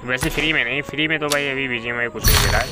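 A man's voice: medium pitch, very fast. A man giving casual, chatty gameplay commentary, talking to viewers like brothers. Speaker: gaming video creator. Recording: loud music behind the voice. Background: loud music.

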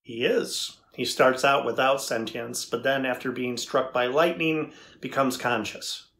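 A middle-aged man playing a thoughtful, curious AI researcher in a dramatized interview, deliberate and inquisitive. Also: medium pitch, fairly even intonation, vocal fry, fairly bright tone. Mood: explaining. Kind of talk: dramatized transcript reading. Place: indoors before a studio backdrop.

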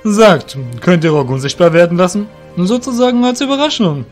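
A man's voice: high voice, sing-song, a little fast, soft background music, pitched above the performer's own voice. A man voicing a cruel guild master, mocking and commanding, in an audio drama. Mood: scheming. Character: gloating, cruel male guild master giving orders. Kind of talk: hobby audio drama character acting.

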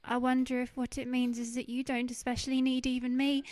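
A woman's voice: high-pitched, flat delivery, brisk, darkish tone, close to an everyday voice. A woman playing a fond, tender older wife in a radio play. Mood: gently doubting. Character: gentle, fond, gently doubting older wife.

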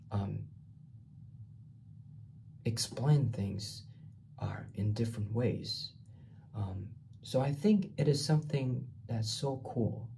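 A young man from Taiwan speaks slowly, hunting for words and circling back over phrases. His voice is low-toned and gravelly, with a mellow, dark color. He records through a webcam mic in a small bare room, with a faint hum behind him. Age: young adult. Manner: halting, searching for words, repeats phrases. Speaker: student. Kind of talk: class reading response video. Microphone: laptop webcam mic, framed from below.

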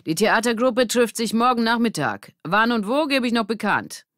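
A woman's voice: a little high, expressive, moderate pace, a bit bright, dry recording, studio quality, voice pushed up. A woman voicing an upbeat male teacher in a children's audiobook, announcing cheerfully. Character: upbeat male schoolteacher leading the theatre group.

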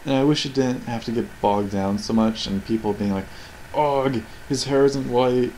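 A man's voice: mid-range voice, moderate pace, mellow, slight background noise, poor audio. A man giving casual, rambling and sarcastic commentary on video game cutscenes, with flippant remarks. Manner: sarcastic, flippant, often swearing mildly. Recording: game music and effects behind. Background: video game soundtrack.

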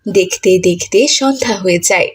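A woman's voice: mid-range voice, fairly quick, husky. A woman narrator reading scripted storybook narration for a cartoon.

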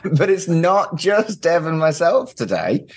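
A man's voice: a little high, sing-song, a little slow, husky. A man hosting a podcast in a casual, chatty style, with wry, self-deprecating asides. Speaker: podcast host.

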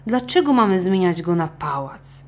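A woman's voice: lowish voice, sing-song, moderate pace, pitched above the performer's own voice. A woman voicing a meek, downtrodden poor fisherman in a children's storybook, timid and pleading. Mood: protesting.

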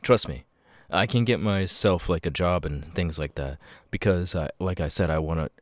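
A man voicing an apologetic, troubled ex-convict with tics, talking haltingly and stammering in an ASMR audio roleplay. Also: low voice, dry recording, lightly acted. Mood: confiding, hesitant. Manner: halting, stammering, sudden loud tic outbursts.

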